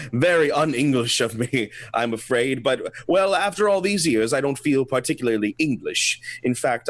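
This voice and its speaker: A man's voice: medium pitch, natural voice. A man playing a polite, evasive English expatriate in a comic radio play, hesitant and theatrical.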